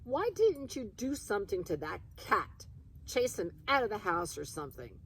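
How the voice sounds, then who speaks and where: A woman's voice: mid-range voice, sing-song, moderate pace, fairly bright tone, slight background noise, voice pushed up, low-quality recording. A woman voicing a puzzled, indignant young boy in a storybook, blurting out questions.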